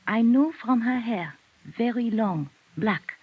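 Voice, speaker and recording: A woman's voice: a little high, animated, slow, breathy edge, exaggerated voice. An actress playing a timid, frightened young Frenchwoman in 1950s radio detective drama, hesitant and apologetic in French-accented broken English. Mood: anxious, recounting. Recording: dull vintage radio recording.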